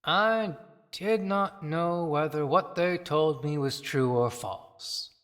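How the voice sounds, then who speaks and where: A woman's voice: deep voice, slow pace. A woman voicing a weary, rueful male tombstone carver in a theatrical audio play monologue, with long reverb around the voice. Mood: rueful, measured.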